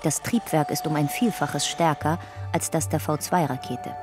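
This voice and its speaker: A woman narrating a radio drama in a measured, clipped documentary style. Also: low voice, fairly even intonation, close to an everyday voice. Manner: clipped, measured, reporting in fragments. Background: music.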